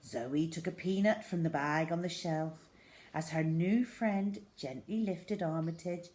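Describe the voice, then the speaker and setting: A woman reading a children's story aloud as an expressive storyteller. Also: fairly low pitch.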